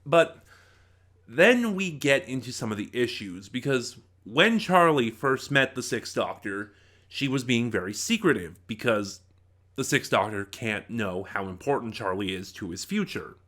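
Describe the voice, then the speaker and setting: A man giving an informal spoken review as a video host, often halting and rephrasing himself as he thinks aloud. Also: medium pitch, sing-song, a bit bright.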